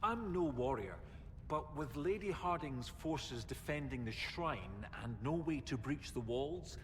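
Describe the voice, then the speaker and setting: An actor playing a mild, bookish professor in a fantasy video game, polite and a little fussy and hesitant. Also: male voice, animated, measured pace. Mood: hesitant, explaining. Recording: reverberant hall with faint rumble.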